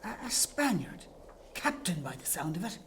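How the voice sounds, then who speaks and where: A wry Irish villager played by a man with a notably high voice. He is scornful but gruffly helpful, talking in blunt, clipped phrases as in an audio drama. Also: big pitch swings, moderate pace, fairly bright tone, exaggerated voice. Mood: urgent, gruff. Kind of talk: audio play acting.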